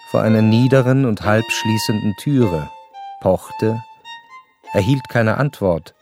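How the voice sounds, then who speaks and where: Measured male narrator over violin music. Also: fairly low pitch. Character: educated, curious male narrator, observant and wryly detached. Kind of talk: literary radio play narration.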